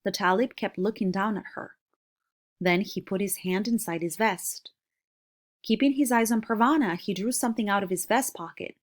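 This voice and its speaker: A woman's voice: medium pitch, moderate pace. A woman reading a children's novel aloud as a storybook narrator.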